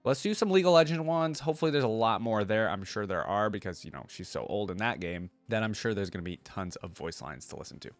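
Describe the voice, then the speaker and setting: A man's voice: on the low side, lively intonation, fast, hardly any pauses, dry recording, faint music behind. A man hosting a reaction video in an informal, chatty way, thinking aloud as he comments.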